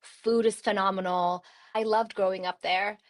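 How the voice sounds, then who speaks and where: A woman's voice: mid-range voice, fairly even intonation, normal speed. A woman from Turkey in her thirties, speaking as a podcast guest. She talks in a loose, chatty way, scattering "like" through her sentences and breaking into easy laughs. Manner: chatty, many "like" fillers, laughs often. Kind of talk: casual conversational storytelling.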